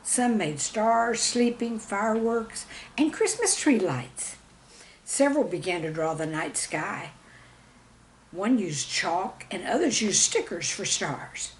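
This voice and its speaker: A woman reading a scripted children's story with expressive, dramatic storybook emphasis. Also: mid-range voice, takes it slowly, slight background noise, rough recording. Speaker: storyteller and author reading own stories.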